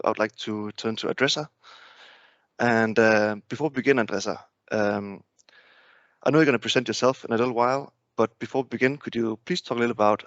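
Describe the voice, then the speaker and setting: A man's voice: fairly low pitch, fairly bright tone, dry recording. A man in his thirties with a Danish accent, hosting a webinar over a headset in a friendly, hesitant way. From Denmark. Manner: friendly, hesitant, with many fillers. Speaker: webinar host. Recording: dry, muffled video call audio.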